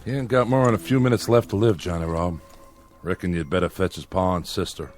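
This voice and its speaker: An actor playing a dry, sardonic town undertaker in an old drama, sober. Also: male voice, deep voice, steady tone, fairly quick, darkish tone, close to an everyday voice. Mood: dour, dry. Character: dour, dry male Western town undertaker. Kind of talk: old Western drama acting. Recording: vintage TV drama audio under music.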